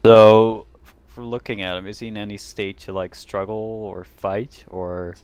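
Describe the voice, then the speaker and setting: A man's voice: fairly low pitch, little pitch movement, a little slow, darkish tone, smooth. A man chatting casually as a player in a tabletop roleplaying game.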